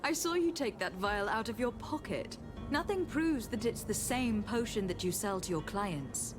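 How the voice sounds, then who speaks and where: A woman playing a shrewd, skeptical adventurer in a video game, with measured, formal, challenging questioning. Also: on the high side, lively intonation, music in the background, close to an everyday voice.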